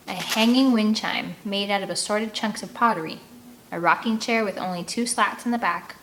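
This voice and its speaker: A woman reading an audiobook aloud as host, with expressive narration and casual delivery. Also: mid-range voice, slight background noise, poor audio.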